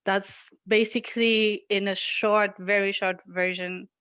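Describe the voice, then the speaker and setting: A woman's voice: medium pitch, level tone, a little slow, fairly bright tone, clean tone, no room sound, muffled recording. Chatty young woman from Morocco. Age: young adult. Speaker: guest entrepreneur and artist. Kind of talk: casual video-call interview. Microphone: headphones on a video call.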